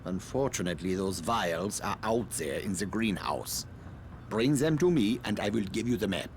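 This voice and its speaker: An actor playing an evasive, self-justifying scientist in a video game, speaking formally and precisely with polite condescension. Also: male voice, on the low side, expressive, normal speed, a little hiss or hum, natural voice. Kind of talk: dramatic video game cutscene acting.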